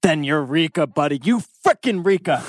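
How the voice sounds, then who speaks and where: A man's voice: fairly high pitch, lively intonation, moderate pace, no room sound. Casting: man as melodramatic lovesick teen schemer for TV drama, rambling, swooping intonation, dry sound. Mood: excited scheming. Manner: theatrical, rambling. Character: melodramatic, wordy, lovesick teenage boy with big schemes. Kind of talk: live table read of a TV drama script.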